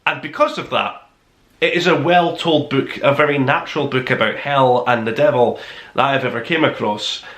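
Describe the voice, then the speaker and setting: A man's voice: mid-range voice, normal speed, fairly bright tone. A man hosting a book review, talking casually to camera in an emphatic, rambling way. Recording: roomy, echoey sound.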